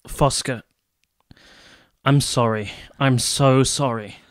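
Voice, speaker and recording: A man acting a courteous, reserved young army captain in a radio play, speaking in a formal, measured, literary way. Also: mid-range voice, steady tone, lightly acted. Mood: apologetic. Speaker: actor and narrator in a radio play. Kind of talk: literary first-person narration and radio drama dialogue.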